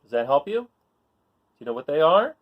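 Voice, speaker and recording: A man reading a children's picture book aloud in an informal, expressive way, putting questions to young listeners and encouraging them. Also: a little high, a little fast, narrow, tinny audio. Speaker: read-aloud storyteller.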